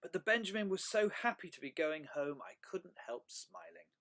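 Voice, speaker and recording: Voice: mid-range voice, sing-song, moderate pace, fairly bright tone, voice pushed up. A storyteller voicing a friendly, curious young dragon telling its own story, earnest and wide-eyed, with dramatic emphasis.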